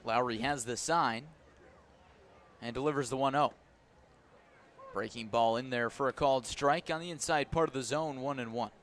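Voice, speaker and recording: A man's voice: mid-range voice, normal speed, pauses often, fairly bright tone. A man giving live radio play-by-play of baseball, in clipped descriptive phrases that rise with the action. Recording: noisy outdoor ballpark ambience.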